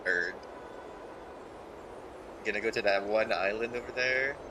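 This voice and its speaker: Male game streamer, fairly low register, loose offhand reactions, noisy room ambience behind the mic. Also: measured pace. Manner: short offhand reactions, mumbled asides. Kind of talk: casual livestream commentary over a game.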